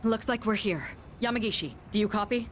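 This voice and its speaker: Woman as young team commander, mid-pitched, formal, measured, authoritative, anime game dub, faint music behind. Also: lightly acted. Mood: composed, commanding.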